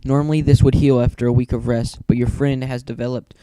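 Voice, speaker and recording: A grave male doctor pony, read by a man, speaks in a mellow, mid-pitched voice. The manner is measured and apologetic, as in a story reading. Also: flat delivery, clear, resonant tone, no echo, natural voice, poor audio.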